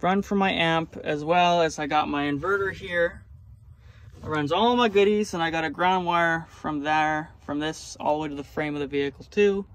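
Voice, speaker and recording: A man's voice: a little high, normal speed. A man giving a casual, enthusiastic walkthrough as a hobby vlogger, speaking informally while showing his gear. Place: in and around a truck. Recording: clear vehicle and ambient noise.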